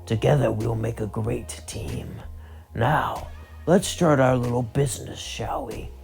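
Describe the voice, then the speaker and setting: An ominous alien creature, acted by a man narrating a story, speaking slowly in a breathy half-whisper. It is blunt, proud and threatening. Music plays behind it. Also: medium pitch, expressive, darkish tone. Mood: sinister, persuasive.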